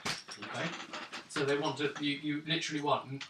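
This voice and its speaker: A man's voice: fairly high pitch, fairly even intonation, fairly quick. A man acting as game master, improvising narration for a tabletop role-playing game. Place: indoor room around a gaming table. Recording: echoey room, distant mic, noticeable background noise.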